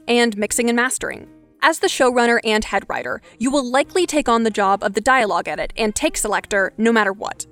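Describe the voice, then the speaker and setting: A woman hosts a podcast and walks through a scripted explainer, direct and brisk. Her voice sits fairly high, and faint music plays under her. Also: fairly bright tone. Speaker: podcast host.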